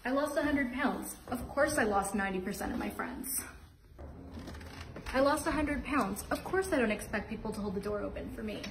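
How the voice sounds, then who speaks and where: A woman's voice: on the high side, moderate pace, vocal fry. A woman speaking in short, repeated statements for a social media skit about herself. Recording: echoey, noisy, distant microphone.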